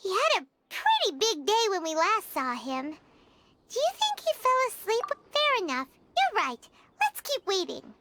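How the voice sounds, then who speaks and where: Squeaky, excitable little fairy girl. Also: measured pace, noisy background, full character voice. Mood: chirpy. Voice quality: childlike, squeaky. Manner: exclamatory, talks of self in third person. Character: small chirpy excitable fairy-like girl companion. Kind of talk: animated video game dialogue. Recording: music playing behind the voice.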